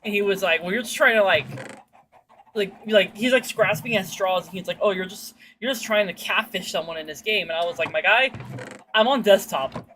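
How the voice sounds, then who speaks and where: A woman streamer with a bright, forward voice tells stories in a loose, rambling chat. She talks in slang with some swearing and acts out quotes, over faint background noise. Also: brisk. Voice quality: fairly deep-sounding for a woman. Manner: slangy, sweary, animated retelling with acted-out quotes. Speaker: streamer chatting with viewers while gaming. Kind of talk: casual chat, rambling storytelling.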